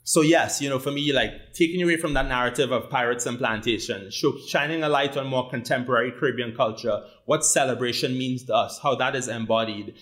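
A man's voice: mid-range voice, fairly quick, hardly any pauses, a bit bright. A man with a Trinidadian accent, a guest in a casual interview, talking animatedly, repeating words as he builds his points and often tagging them with "right?". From Trinidad and Tobago. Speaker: guest, rum company founder.

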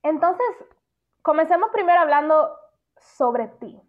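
A woman hosting a podcast who narrates and interviews a guest in a calm, measured way. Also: sits high, dry recording, phone-quality sound. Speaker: podcast host.

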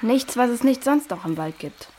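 A woman's voice: on the high side, lively intonation, normal speed, darkish tone, a little hiss or hum, lightly acted. A woman playing a practical, helpful girl searcher in a children's audio drama, inquisitive and matter-of-fact. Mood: matter-of-fact, puzzled.

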